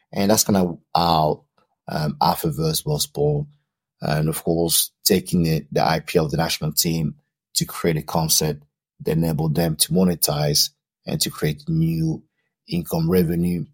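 Low, husky-voiced man from Cameroon; podcast guest giving long explanations. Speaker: podcast guest, founder and CEO. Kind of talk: interview answers.

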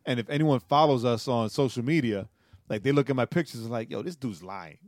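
A man's voice: medium pitch, a little fast, darkish tone, dry recording, clean studio sound. A man in his forties co-hosting a podcast, telling stories animatedly, laughing often and checking in with "right?". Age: 40s. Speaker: podcast co-host. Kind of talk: casual chat.

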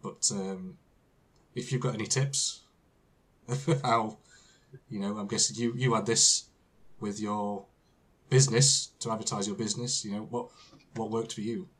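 Husky British man, hesitant podcast host. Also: on the low side, fairly even intonation, a little slow, pauses often. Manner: hesitant, repeats words, laughs often. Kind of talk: casual interview chat.

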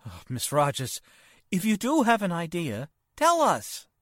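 A woman acting as a worried, earnest client in vintage radio drama style. The voice is lowish with wide swings in pitch, halting and stammering, recorded dry. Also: wildly swooping pitch, no room sound, heavily acted. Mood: distressed, pleading. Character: anxious, hesitant client fearing an uncle is swindled.

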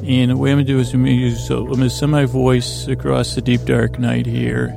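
A man hosting a bedtime podcast in a creaky, gravelly voice, rambling and meandering at a languid, halting pace to lull listeners to sleep. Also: medium pitch, level tone, over music. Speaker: podcast host.

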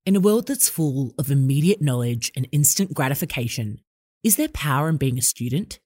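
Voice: mid-range voice, expressive, normal speed, darkish tone, slightly rough, no echo, studio quality. A young adult podcast host reading an upbeat scripted intro and asking effusive, enthusiastic questions.

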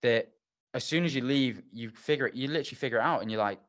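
A man hosting a podcast interview, reflecting in a relaxed way and asking follow-up questions. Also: mid-range voice, fast. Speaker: podcast host. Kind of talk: casual interview.

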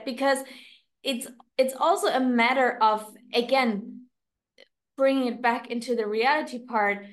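A woman with a German accent, a non-native English speaker, co-hosting a podcast in a casual, reflective chat, often pausing and restarting as she searches for words. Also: a little high. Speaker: podcast co-host.

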